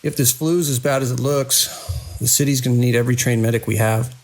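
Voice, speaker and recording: A man playing a weary hospital director and surgeon in an audio drama, speaking in a measured, formal, wry way. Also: mid-range voice, fairly even intonation, lightly acted. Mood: grave, measured. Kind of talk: scripted audio drama narration.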